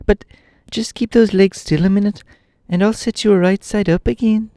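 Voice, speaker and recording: A man voicing a bossy, impatient young boy in a storybook reading, talking high and shrill. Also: steady tone, normal speed, no echo, clean studio sound. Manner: shrill, exasperated shouting.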